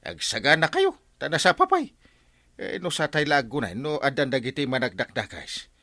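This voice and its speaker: A man with an Ilocano accent acting an earnest, excitable village captain in a radio comedy-drama, often starting on hesitant interjections. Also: medium pitch, moderate pace, heavily acted. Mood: urgent, commanding.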